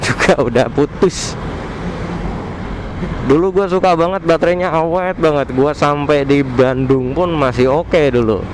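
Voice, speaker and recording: Clear road and vehicle noise surrounds a man talking into a helmet headset mic. His pitch is average for a man, and his Indonesian accent is colloquial Jakarta. He delivers a casual, slangy motovlog review as he rides. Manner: informal, slangy. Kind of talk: casual vlog review, talking while riding. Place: outdoors on a motorbike at night. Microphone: modified headset mic inside helmet. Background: traffic and vehicle noise.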